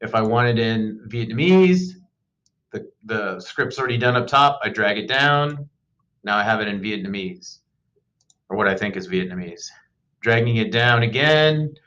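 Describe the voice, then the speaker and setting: A man giving a loose, unscripted screen-recorded software tutorial as a teacher, with frequent ums and uhs. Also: fairly low pitch, animated, normal speed, muffled recording.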